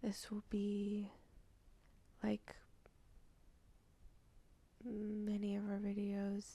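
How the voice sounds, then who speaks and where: A woman leading a channeled guided meditation, speaking softly and half-whispering, with sighs and long pauses. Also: mid-range voice, monotone, breathy edge. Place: indoors at night.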